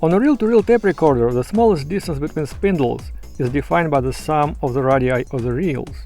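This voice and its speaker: Man, creaky gravelly voice, mid-range pitch, scripted YouTube narration, measured and explanatory, faint music behind. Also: animated, a little mellow. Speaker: YouTube presenter. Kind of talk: scripted video essay narration.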